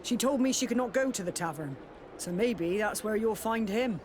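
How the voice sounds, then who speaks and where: A trusting, kind-hearted sailor in a game cutscene. His voice is notably high, and he talks in a gentle, obliging way. Clear outdoor noise runs behind him. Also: lively intonation, normal speed. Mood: surprised, thoughtful. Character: kindly, sympathetic, trusting male sailor. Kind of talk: video game cutscene dialogue.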